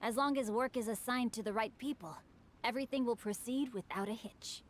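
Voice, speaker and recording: A woman voices a refined young aristocrat in a game, pitched a little high. The speech is eloquent, genteel and slyly teasing, with faint ambience underneath. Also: brisk, a little hiss or hum, lightly acted. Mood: smoothly teasing. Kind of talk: video game cutscene acting.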